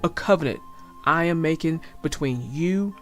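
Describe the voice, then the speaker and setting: A woman voicing God in a Bible storybook, a solemn, commanding male deity speaking deliberately. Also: low voice, animated, faint music behind. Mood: solemn promise.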